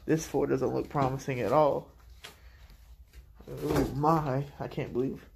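A man filming himself as he walks through an abandoned house, musing slowly about the decay. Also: medium pitch, steady tone, gravelly, noisy background. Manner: slow, musing, easily startled. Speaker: urban explorer. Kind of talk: casual commentary while exploring. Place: abandoned house, indoors, empty rooms. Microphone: handheld phone camera.

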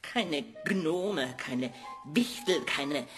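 A woman's voice: sits low, animated, drawn-out words, heavily acted. A woman playing a pompous, haughty school official in an audio drama, declaiming in proud phrases. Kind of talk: German audio drama acting. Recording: loud music under the voice.

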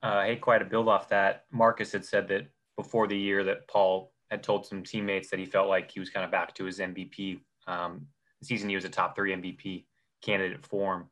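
A man's voice: low-pitched, little pitch movement, normal speed, a bit bright. A male reporter asking a long, winding question at a press conference.

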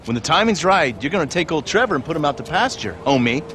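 An actor playing a smug, pushy middle-aged American government agent in a video game. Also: male voice, fairly high pitch, very animated, fast talker, noisy background. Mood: pressuring. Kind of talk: video game cutscene acting.

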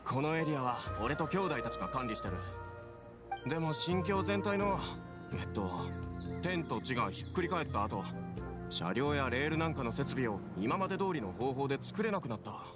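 Man playing a brusque, animated young railway caretaker. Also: mid-range voice, normal speed, lightly acted. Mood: brusque, curious. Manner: rough casual speech, animated. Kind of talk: Japanese anime game voice acting. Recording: music behind the voice.

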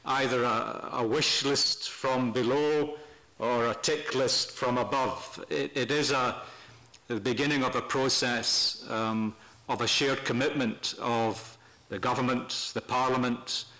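An older man presenting semi-formally to a meeting, speaking off the cuff in a measured, halting way with pauses mid-phrase. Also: mid-range voice, fairly even intonation, pushed and bright. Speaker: panel speaker at a human rights meeting. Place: parliament committee room, indoors. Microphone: desk gooseneck microphone.

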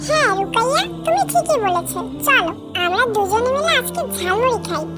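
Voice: very high voice, big pitch swings, fairly quick, loud music behind the voice. A performer voicing a kind, principled young child in a moral cartoon, speaking earnestly and preachily. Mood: cheerful.

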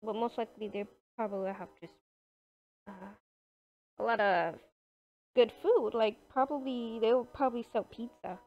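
A woman's voice: fairly high pitch, moderate pace, pauses often. A woman improvising a casual game roleplay as a video creator playing characters. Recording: dull, lo-fi microphone.

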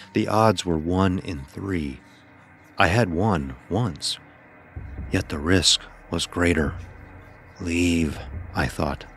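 A man narrating a dramatic first-person horror story in a grave, measured manner. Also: deep voice, fairly bright tone.

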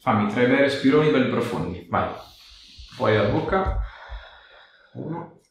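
A man's voice: medium pitch, expressive, normal speed, creaky. A man working as a chiropractor, giving short, reassuring instructions and questions to a patient during a hands-on exam. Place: indoor treatment room. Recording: very echoey room, distant mic, faint noise.

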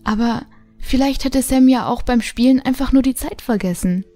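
A woman narrates a children's radio drama from a script. Her voice sits fairly high. Music is clearly audible behind her. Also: normal speed, warm tone.

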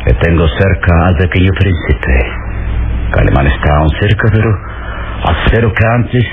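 Radio serial villain: sly gloating schemer, deep voice, tinny noisy old recording. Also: male voice, expressive, normal speed. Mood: smug, plotting.

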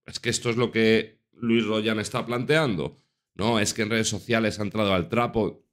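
A man's voice: lowish voice, fairly even intonation, moderate pace. A man in his thirties giving emphatic, indignant reaction commentary into a desk microphone in a small room. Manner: indignant, emphatic, rhetorical questions. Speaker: commentary youtuber. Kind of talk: reaction commentary over a TV clip. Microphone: desk microphone on a stand.

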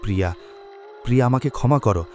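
A man's voice: medium pitch, fast talker, darkish tone, soft background music, close to an everyday voice. A man playing a bewildered, pleading young man in a dramatic cartoon story. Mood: remorseful. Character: bewildered, anxious young man haunted by lost love.

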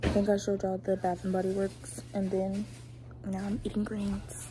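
A young woman in her twenties vlogging at home while sick with a cough, talking softly to her phone. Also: moderate pace. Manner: low-key, quiet and relaxed. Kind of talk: casual vlog talk to camera. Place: indoors at home, living room. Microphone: phone held close, front camera. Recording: noisy home recording.

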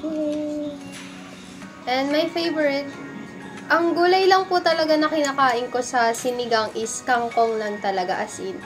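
A woman's voice: sits high, measured pace, over music. A young woman hosting a casual cooking vlog, chatty, polite and playful, often giggling as she talks to the camera indoors. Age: young adult. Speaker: cooking vlogger. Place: dark indoor room, seated at a table.

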